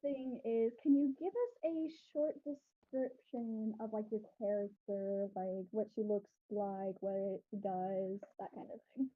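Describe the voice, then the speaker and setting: A woman's voice: mid-range voice, fairly even intonation, unhurried, few pauses, narrow, tinny audio. A woman hosting an interview in a chatty way, laughing often and using loose filler words. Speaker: interview host. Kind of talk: casual interview over voice chat.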